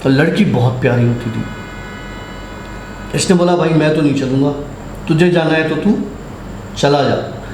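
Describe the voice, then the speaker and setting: Higher-voiced man telling ghost stories. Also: unhurried, pauses often, darkish tone. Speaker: horror storyteller on a podcast. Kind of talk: casual first-person ghost storytelling. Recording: roomy echo, faint background noise.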